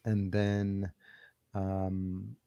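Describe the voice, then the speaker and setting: A man, raised near New City and born in El Salvador, talking casually as a guest over a video call, measured and hesitant with many ums. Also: sits low, monotone, creaky, dark tone, clean tone. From El Salvador, raised in Yonkers, New York. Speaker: podcast producer, guest. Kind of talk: casual interview chat. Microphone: remote video call.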